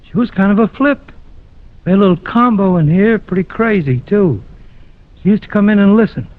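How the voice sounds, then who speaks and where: A man's voice: high-pitched, lively intonation, a little fast. A man playing a laid-back American jazz pianist with slangy, casual hipster talk in an old radio drama. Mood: cool, casual. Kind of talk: 1940s-50s radio drama acting. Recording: tinny old-time radio recording, music behind.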